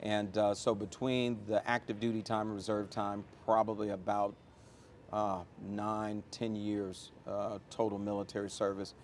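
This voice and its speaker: A man's voice: on the low side, little pitch movement, measured pace, slight background noise. A middle-aged American man answering interview questions conversationally, with an emphatic, motivational manner and a storyteller's use of examples. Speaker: interview guest, airport chief executive.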